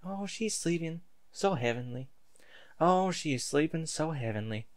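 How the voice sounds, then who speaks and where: Man putting on a high-pitched doting pony wife. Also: lively intonation, measured pace. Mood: adoring. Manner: affectionate, folksy endearments. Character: sweet, doting young pony wife and mother.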